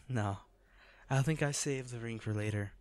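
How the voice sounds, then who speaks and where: A man playing an injured, devoted boyfriend in an intimate audio roleplay, speaking tenderly, earnestly and haltingly. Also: medium pitch, darkish tone, no room sound, natural voice. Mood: relieved, playful. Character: injured, devoted boyfriend proposing from a hospital bed.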